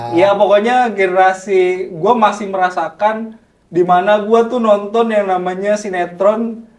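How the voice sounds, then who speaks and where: A man's voice: high voice, steady tone, a little slow. A young man co-hosting a casual podcast chat, joking and laughing often. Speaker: podcast co-host.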